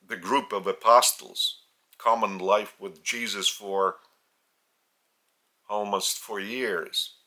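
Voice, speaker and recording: Slow, bright-voiced Lithuanian-accented man teaching. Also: on the low side. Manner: halting, broken into short phrases with pauses and restarts. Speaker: spiritual teacher.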